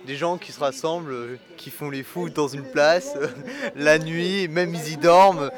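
A man in a casual street interview, talking haltingly about why he comes to the gathering. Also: fairly high pitch. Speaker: participant interviewed. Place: outdoor city square. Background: crowd.

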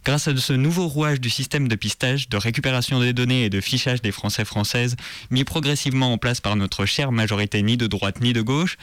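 A man's voice: medium pitch, fairly bright tone, no echo. A man reads scripted news commentary on community radio in a sarcastic, militant manner. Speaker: radio show presenter.

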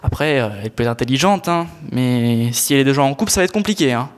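A man's voice: medium pitch, lively intonation, fast talker, close to an everyday voice. A young man in his teens playing an exasperated, sardonic squire in a student audio drama, grumbling in a slangy way. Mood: sarcastic. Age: teenager.

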